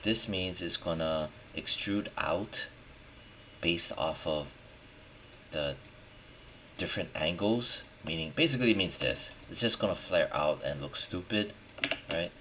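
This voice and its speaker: Male tutorial instructor, extremely low voice, unhurried and informal; noisy recording with keyboard clicks. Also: a bit bright. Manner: informal, thinking aloud, checking in with "right?". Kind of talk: casual screen-recorded software tutorial, talking while working. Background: background noise, typing and clicking.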